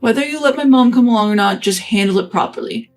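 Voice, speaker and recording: A woman's voice: fairly high pitch, fast talker, faint music behind, close to an everyday voice. A woman voicing a passive, indifferent young husband who talks in an offhand, shrugging way.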